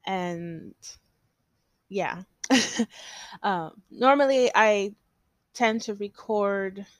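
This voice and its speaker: A young woman talks slowly through her solo podcast, meandering and hesitant with lots of fillers and restarts. Her voice is a little stuffy from allergies. The sound is close and dry, with no room around it. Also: medium pitch, dry recording. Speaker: podcast host. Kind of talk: casual solo podcast chat.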